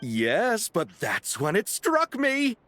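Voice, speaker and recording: A flamboyant film producer. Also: male voice, sits high, wildly swooping pitch, takes it slowly, bright, forceful tone, heavily acted. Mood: effusive. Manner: theatrical, effusive, florid phrasing. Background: music.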